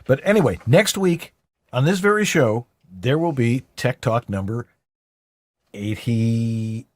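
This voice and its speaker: A man co-hosting a talk show with a husky voice, chatting in a loose, rambling way. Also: mid-range voice, lively intonation, slow pace, dry recording. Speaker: co-host. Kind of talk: casual show chat.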